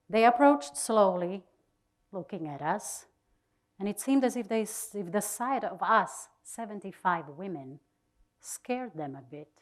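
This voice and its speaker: Very slow, expressive woman reading dramatically. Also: medium pitch. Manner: deliberate, expressive, with pauses. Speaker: reader of a diary.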